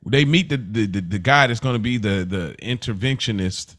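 A man's voice: on the low side, normal speed, fairly bright tone. A man co-hosting a casual TV review podcast, talking in a slangy, conversational way. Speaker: podcast co-host.

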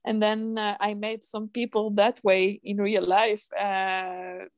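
A woman from France, a podcast guest who speaks thoughtfully and pauses to find her words. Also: medium pitch, flat delivery, no echo, studio quality. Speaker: guest, zero waste association founder. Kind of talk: podcast interview.

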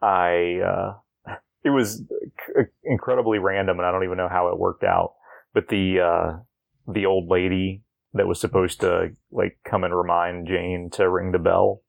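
A man's voice: deep voice, flat delivery, moderate pace, gravelly, phone-quality sound. A man chatting casually and informally as a podcast host about TV episodes.

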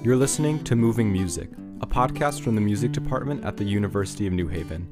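A man with a fairly low voice reads scripted podcast narration in a measured, even way. Quiet guitar music sits underneath his voice. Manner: measured, even read delivery.

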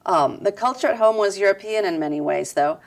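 A woman answering interview questions in a measured, reflective way, with soft "um" fillers. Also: mid-range voice, animated. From United States. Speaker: interview guest.

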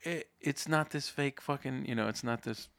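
A young man co-hosting a podcast, talking in a rambling, halting way with lots of swearing. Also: mid-range voice, creaky, fairly bright tone. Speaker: podcast co-host. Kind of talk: casual chat.

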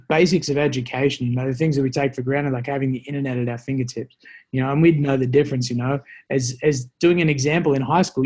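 A man's voice: mid-range voice, darkish tone, husky. An Australian man, a former soldier, telling stories as a podcast guest in a casual, rambling, reflective and joking way. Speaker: interview guest, former special forces soldier. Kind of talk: casual podcast conversation, storytelling.

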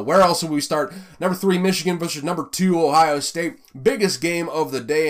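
Rambling Southern American sports host. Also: male voice, fairly high pitch. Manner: rambling, filler-heavy, conversational. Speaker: college football podcast host. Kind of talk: casual sports commentary.

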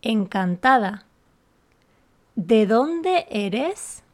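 Woman, slightly high voice, very slow, clear deliberate phrasing, beginner lesson co-host. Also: very animated, darkish tone. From Canary Islands, Spain. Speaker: podcast co-host, Spanish teacher. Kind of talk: slow, scripted beginner language lesson dialogue.

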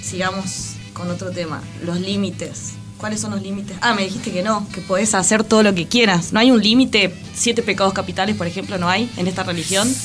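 Argentine woman co-hosting over soft music. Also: mid-range voice, steady tone, brisk, hardly any pauses. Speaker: radio co-host. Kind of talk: casual chat. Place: radio studio. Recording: music bed behind the voice.

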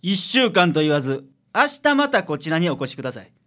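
Gruff Kansai detective boss. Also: male voice, fairly high pitch, very animated, fairly quick, a bit bright. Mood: businesslike. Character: gruff teasing male private detective, the boss. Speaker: actor in a radio drama. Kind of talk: radio drama acting.

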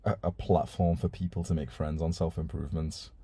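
A young man hosting a live stream in a casual, laid-back way, reading viewer messages and swearing now and then. His voice is very low and mellow with a husky edge. The sound is dry and close. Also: little pitch movement, a little fast, no room sound. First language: Urdu.

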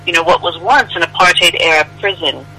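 Bright American woman on a phone line. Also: lowish voice, fairly quick, slight background noise. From United States. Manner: earnest, articulate, upbeat. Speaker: museum CEO, guest. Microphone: telephone.

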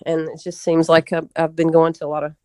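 A woman's voice: low voice, monotone, fairly quick, a little mellow, no echo, clean studio sound. A woman in her forties, an interview guest reminiscing conversationally. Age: 40s.

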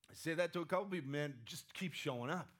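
A man's voice: a little high, fast, a bit bright. Man preaching with a strained voice. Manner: conversational, repeats "right?" to the room. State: strained voice that cracks. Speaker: pastor. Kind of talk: sermon.

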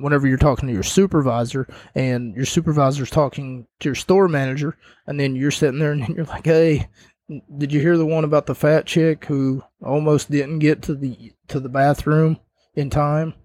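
A man's voice: a little high, fairly even intonation, normal speed, darkish tone, no room sound. A man giving a casual spoken review and recap as host, rambling with jokey asides, his voice starting to wear out. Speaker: wrestling show reviewer, host.

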